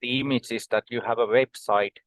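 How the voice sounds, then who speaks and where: A man in his forties from Finland, a podcast guest explaining things in a measured way, with many um and uh pauses. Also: mid-range voice, fairly even intonation, fairly bright tone, no room sound. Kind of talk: conversational podcast interview.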